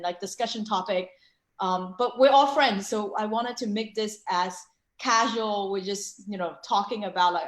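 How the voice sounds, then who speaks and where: A woman hosting a casual online panel over a video call, chatty and full of fillers. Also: mid-range voice. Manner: filler-heavy, chatty, frequent restarts. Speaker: webinar host and co-founder. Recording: echoey room.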